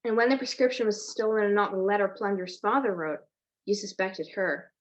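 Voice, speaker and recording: A woman playing a sharp-witted, affectionate detective's wife in a 1940s-style radio mystery. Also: mid-range voice, a little fast, natural voice. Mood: curious, affectionate. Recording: dull lo-fi video-call audio.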